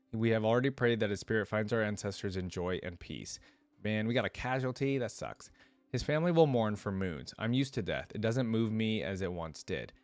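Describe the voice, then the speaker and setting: Male reaction host, lowish voice, reads narrative prose aloud with informal, slangy commentary. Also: no echo. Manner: casual, informal asides with slang. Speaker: reaction video host reading a story aloud.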